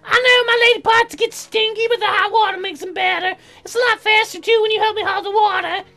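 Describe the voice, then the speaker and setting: Very high-pitched, whiny, dim-witted young woman for a comic game, childish slangy grammar. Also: steady tone, brisk, creaky, pushed and bright, faint music behind, full character voice. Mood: excited. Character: whiny, dim, food-obsessed young female colonist neighbor. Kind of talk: game character voiced in a let's play.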